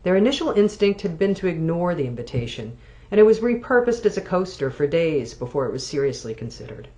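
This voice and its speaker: A woman author reading literary fiction aloud, measured and expressive. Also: on the low side. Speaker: author reading from own novel.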